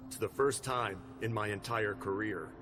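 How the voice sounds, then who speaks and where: A man playing a middle-aged, weary police captain in a video game, authoritative and deliberate. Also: fairly low pitch, background noise, natural voice. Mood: frank, resigned. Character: weary, seasoned male police captain, gruffly commanding. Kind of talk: video game cutscene voice acting. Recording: music under the voice.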